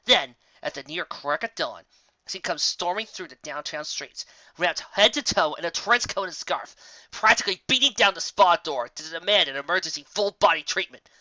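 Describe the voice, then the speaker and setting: Guy reading as fed up pegasus lady, stern, dry, holding her temper in, storybook character, crisp dry recording. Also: high-pitched, moderate pace, no room sound, lo-fi sound, full character voice. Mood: exasperated. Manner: forcibly calm, stern, dry.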